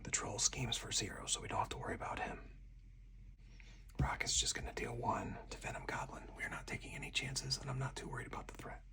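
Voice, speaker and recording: A person whispering softly and breathily in ASMR style, narrating a solo card game move by move as the host. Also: medium pitch, fairly even intonation, brisk. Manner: hushed, narrating each move aloud step by step.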